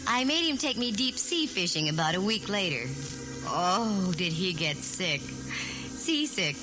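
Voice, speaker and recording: Casting: sassy female gumshoe, 1940s radio style, mid-pitched, teasing and self-assured. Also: big pitch swings, rough recording. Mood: gloating, amused. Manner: wry, teasing, quick with quips. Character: wisecracking, flirty female private eye, sharp and self-assured. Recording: 1940s radio recording, organ music.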